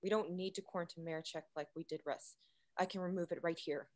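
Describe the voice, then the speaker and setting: An actress playing a cool, clinical colony scientist in a screenplay table read, calm and matter-of-fact. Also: female voice, lowish voice, quick pace, close to an everyday voice. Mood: cool, decisive.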